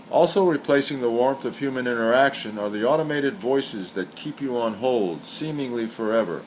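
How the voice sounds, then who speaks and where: A man giving a scripted literary reading of short poems and commentary, reciting in a deliberate, measured way. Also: mid-range voice, rough recording. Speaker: poet reading aloud. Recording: steady background hiss or hum.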